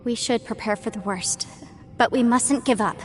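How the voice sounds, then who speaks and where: A woman voicing an earnest, practical young woman companion in a video game, speaking in a steady, purposeful way. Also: on the high side, moderate pace, faint music behind, natural voice.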